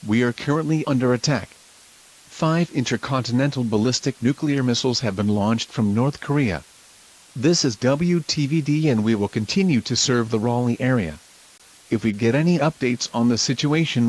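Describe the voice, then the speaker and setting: A man playing a local TV news anchor in a scripted emergency broadcast, speaking in a formal, measured way. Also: mid-range voice, lively intonation, darkish tone, natural voice, poor audio. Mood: grave, instructive. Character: local TV news anchor reporting a nuclear attack.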